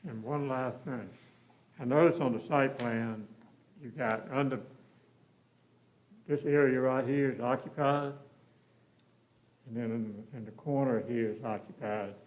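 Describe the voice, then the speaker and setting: A man with an average-pitched voice raises points at a meeting. He is plain-spoken and direct, in an informal questioning style. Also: fairly even intonation, a little slow, long pauses, a little mellow, rough recording.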